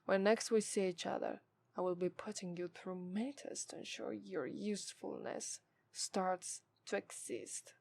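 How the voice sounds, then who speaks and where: A woman voicing a haughty, scornful, seductive demon in an ASMR roleplay, with an Italian accent and formal, deliberate delivery that dips into breathy whispers. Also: mid-range voice, a little mellow.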